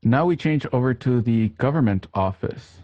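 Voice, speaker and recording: Halting male host, dry and lo-fi. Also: medium pitch, lively intonation, no room sound. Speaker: host and scene narrator. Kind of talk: reading stage directions, hosting an online radio play. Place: home, remote online recording.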